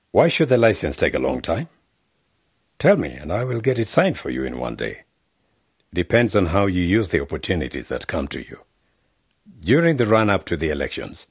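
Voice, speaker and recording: An actor playing a scheming male politician who tries to buy off young journalists, talking down to them with a husky voice. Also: sits low, no echo, clean studio sound, exaggerated voice. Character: scheming middle-aged male politician offering bribes. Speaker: member of parliament. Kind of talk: radio drama acting.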